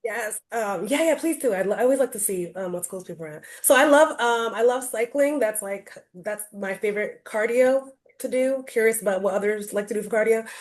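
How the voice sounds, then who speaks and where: A woman's voice: fairly high pitch, brisk, hardly any pauses. A woman from Rhode Island giving a casual online presentation on a video call, chatty and loose, with lots of "um" and "like". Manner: chatty, many fillers like um and like.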